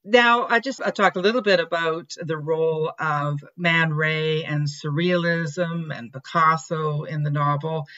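A woman's voice: sits low, fairly bright tone. A woman podcast host chatting enthusiastically with her guest. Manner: enthusiastic, conversational, some fillers. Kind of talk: casual interview chat. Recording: dull lo-fi remote audio.

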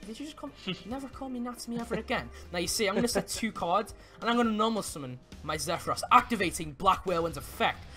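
A young man with an excitable, giggly way of talking and stumbling over words, playing a card game in a casual roleplay. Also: sits high, fast talker, hardly any pauses, soft background music. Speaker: player in a card duel roleplay. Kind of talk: casual in-character gameplay commentary.

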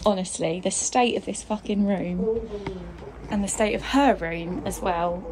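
A woman's voice: mid-range voice, measured pace, darkish tone, a little hiss or hum, low-quality recording. A young woman with a British accent chatting casually and informally for a home vlog. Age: young adult. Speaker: vlogging mother of a newborn. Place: lived-in bedroom at home. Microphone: camera or phone, sometimes across the room.

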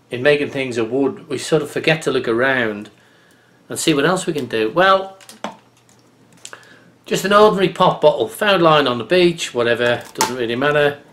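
A man's voice: on the high side, fairly bright tone, a little hiss or hum. A man in his fifties, hosting a casual bushcraft tip from his home office webcam, chatty and folksy, muttering as he carves. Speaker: bushcraft channel host. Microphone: webcam on desk.

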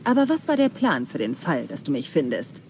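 Low-voiced, commanding pirate queen. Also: very animated, darkish tone, a little hiss or hum. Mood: wary, challenging. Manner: commanding, deliberate, threatening. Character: commanding, menacing pirate queen in ancient Greece. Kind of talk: video game cutscene dialogue. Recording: music behind the voice.

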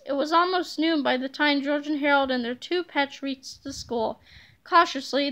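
Very high, bright woman reading aloud. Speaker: storybook reader. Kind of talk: children's book read-aloud.

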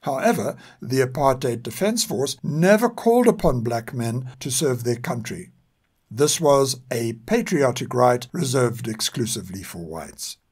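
An older man with a South African accent reads scripted narration in a measured, formal manner. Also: medium pitch, lively intonation, a little mellow, breathy edge. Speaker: podcast narrator.

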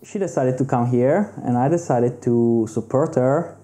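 A man speaks in a conversational interview. He talks slowly, in a very dark, mellow tone. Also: medium pitch. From Italy. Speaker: documentary interviewee.

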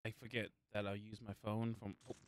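A man's voice: fairly low pitch, flat delivery, a little fast, a little hiss or hum. A man giving casual commentary as he plays a game, sometimes reading tutorial text aloud. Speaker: gaming streamer. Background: video game music.

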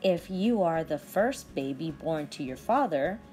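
Lively, slow-reading woman for children. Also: low-pitched, faint music behind. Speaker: teacher. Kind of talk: children's read-aloud.

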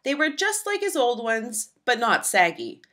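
Bright, animated woman reading a storybook. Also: fairly high pitch, unhurried. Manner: animated, dramatic storytelling with emphasis. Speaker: children's storybook reader. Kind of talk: expressive scripted read-aloud narration for young children.

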